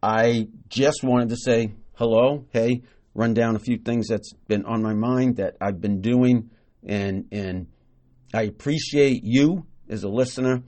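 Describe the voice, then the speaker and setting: A man's voice: medium pitch, measured pace, a little mellow. Chatty man. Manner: casual, rambling with many fillers and restarts; enthusiastic, praising. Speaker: podcast host. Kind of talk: solo podcast monologue on marketing.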